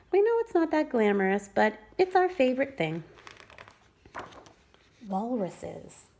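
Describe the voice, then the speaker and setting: A woman preschool teacher reading a rhyming picture book aloud to children with expressive storytelling. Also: medium pitch, vocal fry, slightly rough. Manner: expressive, sing-song storytelling.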